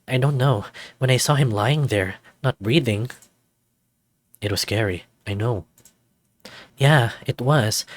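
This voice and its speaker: A man playing an anxious, soft-hearted young student in a visual novel, hesitant and confiding. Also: mid-range voice, no room sound, natural voice.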